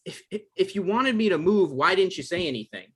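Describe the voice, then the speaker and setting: A man acting an evasive, awkward novelist in a comedy-drama table read, halting and defensive, with stammers. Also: high voice, lively intonation, breathy edge. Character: Michael, evasive, awkward novelist visiting his ex.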